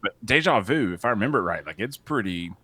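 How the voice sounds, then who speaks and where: Animated, jokey man with a low voice. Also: quick pace, slightly rough, dry recording. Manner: excitable and joking, jumps in mid-sentence. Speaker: podcast co-host. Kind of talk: casual movie podcast chat.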